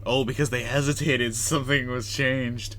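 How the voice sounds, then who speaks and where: A man's voice: medium pitch, level tone, moderate pace, a bit bright, slight background noise. A man giving casual live commentary over gameplay, with wry, slangy reactions. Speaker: live game streamer.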